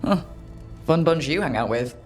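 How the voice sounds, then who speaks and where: An actress playing a curious, teasing young adventurer in a fantasy video game, speaking in a casual, dry way. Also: female voice, sits low, animated, moderate pace, faint music behind.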